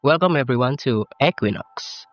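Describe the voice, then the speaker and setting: Teenage male vlogger, Indonesian accent, non-native English, reflective and self-deprecating, slightly lo-fi voice-over with clear music underneath. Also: medium pitch, lively intonation, moderate pace, dry recording. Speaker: YouTuber. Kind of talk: personal vlog narration. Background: game soundtrack music.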